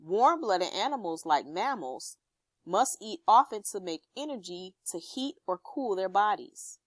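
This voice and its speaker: A woman teacher reading an informational text aloud to pupils and explaining it, pausing to check understanding with questions. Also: medium pitch, sing-song, a bit bright, no room sound.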